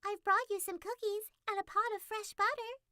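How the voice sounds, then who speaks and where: Woman doing a sweet, squeaky little girl. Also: steady tone, moderate pace, sharp tone, no echo, studio quality. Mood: innocent. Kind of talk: storybook reading.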